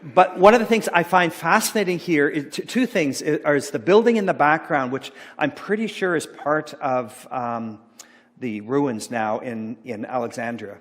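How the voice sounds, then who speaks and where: A middle-aged man giving an informal sermon, rambling and halting with many fillers and restarts. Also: on the high side. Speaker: pastor. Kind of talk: informal sermon talk about slides. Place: church sanctuary. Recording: long church hall reverb.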